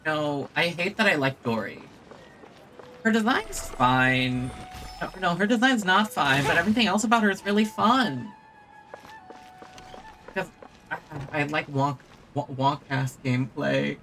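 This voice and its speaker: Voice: mid-range voice, lively intonation, unhurried, long pauses, pushed and bright, faint music behind. A streamer chatting casually and enthusiastically to viewers while playing a game, in a rambling way. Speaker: streamer and host. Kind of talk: casual livestream gaming chat.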